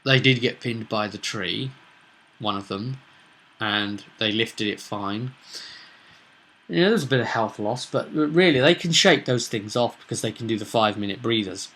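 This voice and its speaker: A man's voice: on the low side, normal speed, fairly bright tone. A man giving casual, unscripted commentary as a game master, talking in a rambling, chatty way with emphatic bursts. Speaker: game master presenting own session recap.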